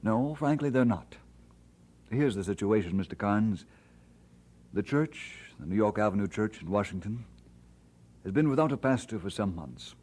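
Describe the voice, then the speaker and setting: An actor playing an earnest, humble pastor, speaking politely and sincerely in an old-time radio drama style. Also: male voice, fairly low pitch, lively intonation, moderate pace, long pauses, a little mellow. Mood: courteous, frank. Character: earnest, humble, courteous male pastor, newly married. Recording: noisy vintage radio transcription.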